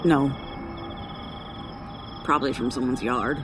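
An actress playing a traumatized survivor in an audio horror drama, telling a nightmarish ordeal in halting, broken phrases. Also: female voice, extremely low pitch, steady tone, music in the background. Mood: subdued, weary. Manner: halting, haunted, breaking off and repeating words. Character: traumatized woman survivor recounting a nightmarish attack by neighbors. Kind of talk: audio horror drama acting, testimony monologue.

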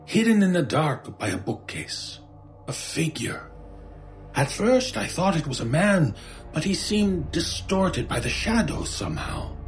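An actor playing a frightened, haunted shopkeeper in a radio horror drama, halting and stammering in distress. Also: male voice, on the high side, very animated, soft background music. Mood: fearful, haunted. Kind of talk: old-time radio horror drama acting.

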